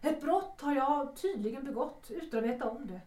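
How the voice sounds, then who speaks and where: An actress plays an anxious former wife in her early thirties in a period radio drama. Her voice is fairly high, hesitant and defensive, with formal phrasing. She sounds away from the microphone in a reverberant space. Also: animated, a little mellow, distant mic. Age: 30s. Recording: echoey, off-mic.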